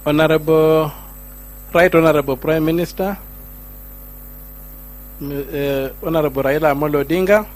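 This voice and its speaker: Kenyan man, high-pitched speech. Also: fairly even intonation, moderate pace, pauses often. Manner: switches between Swahili and English, rising emphatic lines. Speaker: senator giving a speech. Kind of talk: public speech at a gathering.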